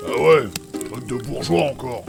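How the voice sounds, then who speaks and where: A man playing a surly, blunt, dim-witted fantasy adventurer with a gruff, aggressive manner, in a comic audio drama. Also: mid-range voice, measured pace. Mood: grumpy. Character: surly, foul-mouthed, dim, prejudiced adventurer. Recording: music behind the voice.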